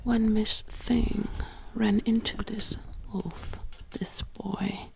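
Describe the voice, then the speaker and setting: A woman plays a sly, menacing witch who hunts for victims in an ASMR roleplay. She whispers breathily, very close to the mic. Her storytelling is very slow, lingering on words and repeating them. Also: mid-range voice, flat delivery, creaky. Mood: eerie, storytelling. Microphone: close microphone.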